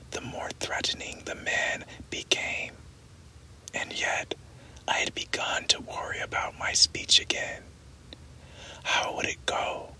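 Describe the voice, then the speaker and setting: A narrator reading a novel aloud in a slow, steady whisper. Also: rough recording. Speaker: audiobook narrator.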